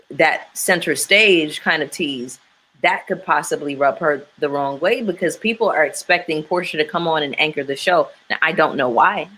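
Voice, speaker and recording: A woman's voice: sits low, a bit bright, a little hiss or hum, low-quality recording. A woman chatting frankly and with strong opinions about a reality show, with many fillers. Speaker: panelist.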